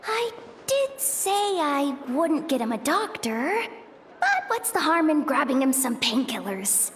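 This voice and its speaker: A young actress playing a guarded, pragmatic young woman with a soft heart and a wry, casual way of talking in a video game. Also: high voice, very animated, slow, heavily acted. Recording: studio voice over game music. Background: background music.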